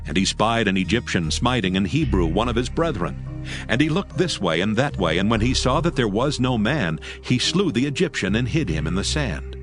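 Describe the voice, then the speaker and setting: Low-voiced, solemn male scripture narrator. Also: very animated, moderate pace, over music.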